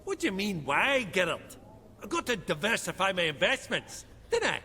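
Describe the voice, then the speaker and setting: Bright, brash dwarf banker. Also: male voice, high-pitched, very animated, moderate pace, big-room sound, slight background noise, exaggerated voice. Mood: chatty. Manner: colloquial, blustering, swearing. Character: chummy, bossy dwarf banker, older widower. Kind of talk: video game voice acting.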